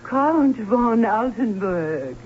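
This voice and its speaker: An actress playing a fretful, high-strung aunt, fluttery and overwrought, in an old-time radio drama. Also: female voice, expressive, extremely slow. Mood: flustered. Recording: muffled, noisy old radio recording.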